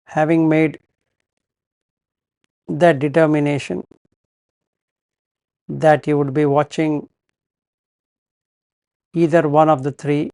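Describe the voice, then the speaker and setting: A man leads a guided meditation in a slightly high, very even voice. He speaks very slowly and calmly, leaving pauses between short instructional phrases. The sound is close and dry. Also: creaky, no echo. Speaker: meditation teacher.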